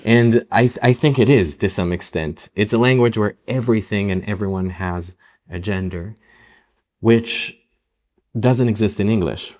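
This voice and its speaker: A young man from Israel, a native Hebrew speaker, talking slowly and thoughtfully in a podcast interview. His voice sits very low. He often restarts his phrases as he thinks them through. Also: a little mellow. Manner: reflective, many restarts and "um"s. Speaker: novelist guest.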